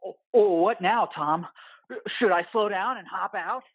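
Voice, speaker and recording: A man's voice: high-pitched, unhurried, no echo, muffled recording, voice pushed up. A man voicing a manic, taunting villain driver in an audio play, gleeful and unhinged. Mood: mocking. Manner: taunting, gleeful, maniacal laughing.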